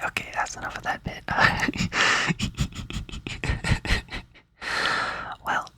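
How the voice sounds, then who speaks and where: A young man whispers close and soft, his breathy voice very bright and crisp. He rambles playfully from one thing to the next and fills his talk with "like." It is a loose, chatty ASMR session. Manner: rambling, playful, says "like" a lot. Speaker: ASMR creator.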